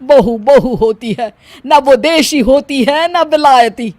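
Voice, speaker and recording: A woman's voice: high-pitched, slow, noisy background. A woman voicing an older, bossy mother-in-law in a moral-story cartoon, with an emphatic manner. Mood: scolding, haughty. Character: bossy, scolding older mother-in-law, sometimes sweetly doting.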